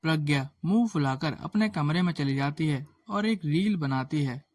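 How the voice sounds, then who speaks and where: A man's voice: on the high side, moderate pace, a little mellow. A man narrating a scripted moral story as a storyteller. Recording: faint background music.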